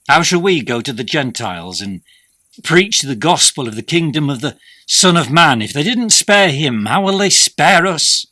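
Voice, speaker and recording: An actor playing a guilt-ridden, grieving fisherman disciple in hiding, in a halting dramatic monologue that moves between whispers and shouts. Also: on the high side, sing-song, dry recording, exaggerated voice. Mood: urgent.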